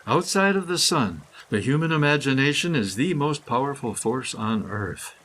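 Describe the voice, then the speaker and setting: A man reading a scripted, poetic guided meditation in measured, deliberate phrases with pauses. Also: mid-range voice, animated, fairly bright tone. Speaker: guided meditation reader, author.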